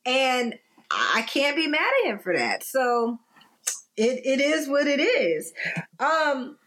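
A pregnant woman co-hosting a casual talk show, animated, laughing often and acting out what people say. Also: sits high, takes it slowly. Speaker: podcast co-host. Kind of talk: casual conversational interview.

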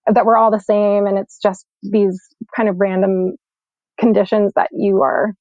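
A woman's voice: medium pitch, measured pace, dry recording. A young woman and climate scientist, born in Sweden and raised in New York, talking casually and reflectively in an interview with lots of "like" and "um.". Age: young adult. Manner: relaxed, reflective, heavy use of "like" and "um". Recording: dull lo-fi recording.

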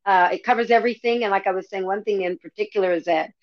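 A woman's voice: medium pitch, quick pace, dry recording. A woman interview guest answering casually, feeling for her words, on a slightly dull, lo-fi connection.

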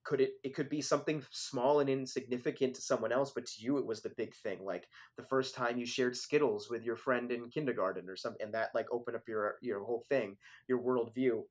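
Chatty male filmmaker, medium pitch. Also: fairly even intonation, fairly quick, hardly any pauses. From Philadelphia area, born in Switzerland. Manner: conversational, many fillers like "like" and "you know". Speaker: filmmaker guest. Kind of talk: casual interview talk.

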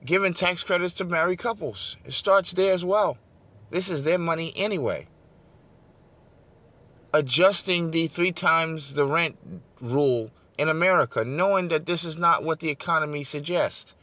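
A man's voice: a little high, normal speed, pushed and bright, muffled recording. A man giving an emphatic political talk to his online audience, repeating his points and calling listeners "guys". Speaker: political speaker on a broadcast.

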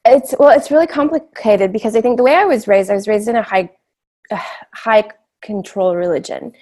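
A woman's voice: fairly high pitch, fairly quick, dark tone. Reflective woman with a big voice. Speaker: interview guest. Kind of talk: candid conversational interview answers.

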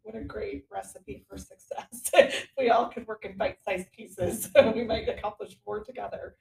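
A woman's voice: medium pitch, big pitch swings, normal speed, creaky, recorded from a distance. A woman hosting a conversational interview podcast in a chatty, somewhat hesitant way, recorded with roomy echo away from the mic. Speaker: podcast host.

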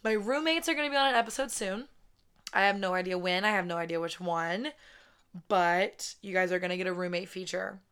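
A woman in her twenties hosting her own podcast, talking casually with a raspy voice after a weekend wedding, swearing often and saying "like" constantly. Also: mid-range voice, a little fast, a bit bright. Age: 20s. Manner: chatty, swears a lot, says "like" constantly. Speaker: podcast host. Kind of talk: casual solo podcast chat. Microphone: podcast microphone, close.

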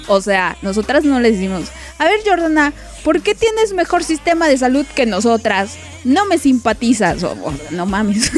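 A woman with a Mexican accent hosting a live-stream show in casual chat, playful and joking, often breaking into laughter. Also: on the high side. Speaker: host.

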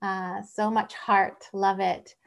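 A woman's voice: medium pitch, little pitch movement, takes it slowly, creaky. A woman from northwest Spain hosting an online open mic in casual, gushing, exclamatory chat.

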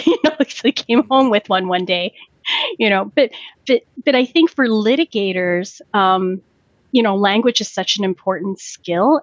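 A woman's voice: medium pitch, no echo, dull audio. Thoughtful Canadian woman lawyer, dry sound. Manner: thoughtful, with frequent fillers and restarts. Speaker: lawyer, podcast guest. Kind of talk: reflective podcast interview.

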